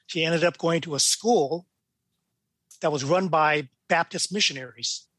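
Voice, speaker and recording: American male, 60s, judge as podcast guest, lighter higher pitch, casual storyteller, dry recording. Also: a little slow, fairly bright tone, dry recording. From California, United States.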